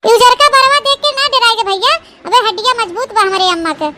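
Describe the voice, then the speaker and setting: Squeaky-high Awadhi village daughter-in-law. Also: female voice, fairly quick, heavy background noise. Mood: eager, familiar. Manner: rustic, chatty, repetitive questioning. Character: chatty village daughter-in-law, naive, cautious with money. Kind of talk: Awadhi family comedy cartoon.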